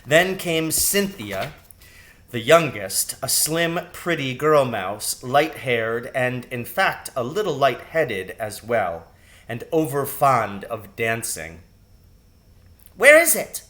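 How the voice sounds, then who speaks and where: Slow-paced man reading a children's novel. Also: mid-range voice, fairly bright tone. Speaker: storybook reader, narrator. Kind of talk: read-aloud children's novel narration.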